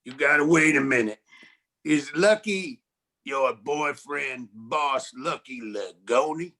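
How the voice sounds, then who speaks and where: A man's voice: mid-range voice, slow, pushed and bright, exaggerated voice. A man playing a wisecracking, streetwise 1940s private detective in a campy radio noir style. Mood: wary, wry. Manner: slangy, wry. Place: live community theatre stage performance.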